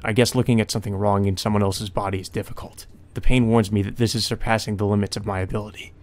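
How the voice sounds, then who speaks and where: A man's voice: lowish voice, fairly even intonation, fast talker. A man hosting a let's play, reading visual novel narration aloud and adding his own off-the-cuff reactions.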